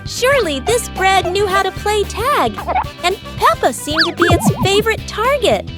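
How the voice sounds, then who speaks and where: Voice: very high-pitched, big pitch swings, moderate pace, over loud music. A narrator reading a scripted children's cartoon story.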